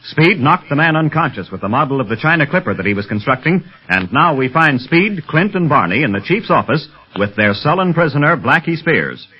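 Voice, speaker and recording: A man's voice: a little high, big pitch swings, a little fast, few pauses, slightly rough. A man announcing and narrating the recap of a vintage radio serial in a dramatic way. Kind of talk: scripted narration. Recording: dull lo-fi old radio recording.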